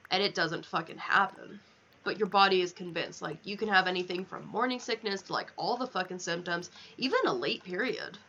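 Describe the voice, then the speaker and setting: A woman's voice: lowish voice, pushed and bright, dull audio, a little hiss or hum. A young woman chatting casually on a game livestream, candid and rambling, swearing freely as she goes.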